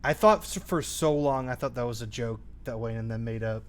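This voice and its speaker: A man's voice: medium pitch, fairly quick. A young man in casual, informal conversation while programming on a game jam stream. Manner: informal, hedging with "like". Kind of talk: casual chat. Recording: faint background noise.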